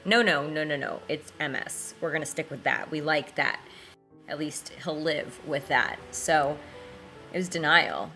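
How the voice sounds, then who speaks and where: A woman's voice: deep voice, unhurried, bright, forceful tone, faint music behind. A woman in her twenties, speaking emotionally and emphatically in a documentary interview. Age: 20s.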